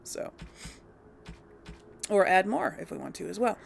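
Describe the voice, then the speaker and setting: A woman hosting a let's play, chatting casually about her game choices and thinking out loud with plenty of ums. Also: medium pitch, lively intonation, measured pace, creaky, a little hiss or hum. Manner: chatty and unsure, thinking aloud with many ums. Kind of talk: casual gameplay commentary.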